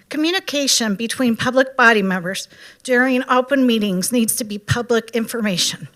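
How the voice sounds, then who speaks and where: A woman reading a prepared public comment at a county board meeting in a measured, critical way. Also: a little high, a bit bright.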